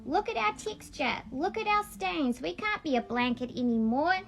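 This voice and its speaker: A woman's voice: high voice, lively intonation, moderate pace, gravelly, soft background music. A woman voicing sad, hopeless pieces of a torn blanket in a plaintive storybook manner.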